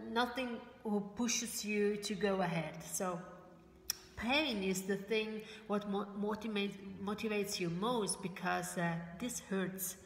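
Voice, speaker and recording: A woman in her forties whose first languages are Italian and German, speaking English to camera and often searching for words, with many fillers and restarts. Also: mid-range voice. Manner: gestures with her hands while talking. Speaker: motivational vlogger for network marketers. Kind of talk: casual motivational talk to camera. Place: bright living room indoors. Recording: roomy echo with faint room noise.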